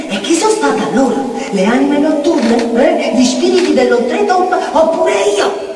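A woman's voice: on the high side, a little mellow, echoey room, natural voice. Female actor, eccentric mocking outcast, Neapolitan accent, stage monologue, distant mic, background noise. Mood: sardonic, mysterious. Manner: rambling, muttering, sardonic, swinging into chant. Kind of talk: theatrical monologue in dialect.

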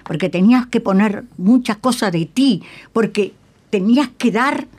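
An older woman with a Uruguayan Spanish accent, reminiscing in a radio interview as an emphatic, halting storyteller who repeats phrases and checks in with tag questions. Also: mid-range voice, a little mellow, husky. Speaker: guest, veteran radio actress. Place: radio studio.

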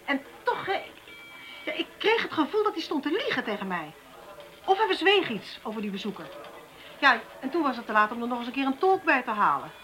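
A woman playing a brisk, inquisitive and sympathetic police detective in a naturalistic radio drama. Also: high-pitched, sing-song, sharp tone, heavily acted, poor audio. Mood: pondering. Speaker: actor in a radio play.

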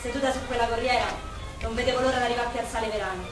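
A woman's voice: a little high, steady tone, rapid-fire, natural voice. A woman acting on stage as a childlike, unhinged young street singer with a Roman accent, theatrical in manner. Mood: nostalgic. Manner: theatrical, childlike, breaking into song. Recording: distant, echoey stage recording with music.

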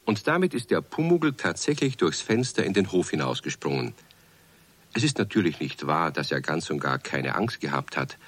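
A man playing the narrator of a children's radio play, telling the story in a calm, kindly way. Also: sits low, moderate pace, full character voice. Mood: calm storytelling.

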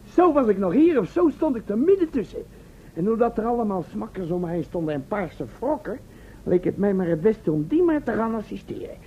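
Casting: cheeky, high-pitched braggart adventurer for a radio serial, colloquial storyteller; tinny, hissy old recording. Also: male voice, very animated, brisk. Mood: cheerful bragging. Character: breezy, boastful male time-travel test subject, unschooled. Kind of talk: radio play acting.

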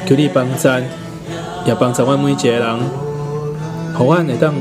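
A man's voice: medium pitch, steady tone, normal speed. A man with a Taiwanese accent, a pastor saying a solemn, pleading prayer. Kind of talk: spoken prayer. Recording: loud music under the voice. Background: loud music.

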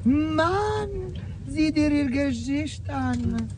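A man acting in a German radio drama as a flamboyant, excitable, gossipy street hustler in drag, drawling theatrically. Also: very high-pitched, very slow, exaggerated voice. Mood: excited. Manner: theatrical, drawling, peppers talk with Spanish exclamations. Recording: noisy street with vehicle sounds.

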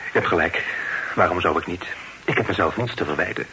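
An actor playing an earnest, troubled young gentleman in love, speaking in a hesitant, plaintive way in a period radio play. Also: low-pitched, brisk, low-quality recording. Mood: resolved. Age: young adult. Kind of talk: radio drama acting, period dialogue. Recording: hissy old radio play recording.

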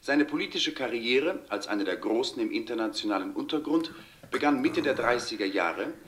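A man playing a sober television newsreader in a 1970s radio drama, formal and measured, with a standard German accent from Germany. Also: mid-range voice, animated, fairly bright tone, close to an everyday voice. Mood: sober, official.

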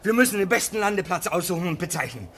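A man's voice: high-pitched, expressive, quick pace, a bit bright, breathy edge, full character voice. An actor playing an earnest, anxious, overwrought man at a polar station in a German radio play, speaking emotionally in short bursts. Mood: urgent, excited.

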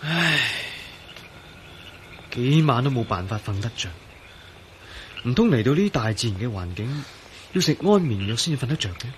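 A woman's voice: a bass voice, sing-song, moderate pace, long pauses, a little hiss or hum. A woman acting a weary, bitter and fearful character in a radio drama, sighing and hesitant, with a Hong Kong Cantonese accent. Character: Wong Yin, weary bitter fearful young woman in hiding.

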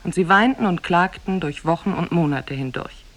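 An actress narrating an Arabian Nights tale in a measured, formal storytelling manner for a 1950s German radio play. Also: female voice, lowish voice, lively intonation, lightly acted.

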